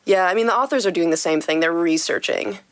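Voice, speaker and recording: A woman's voice: lowish voice, fast talker, no room sound. A woman hosting an interview, asking questions in a friendly way and laughing often. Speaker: podcast host and narrator. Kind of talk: casual interview chat.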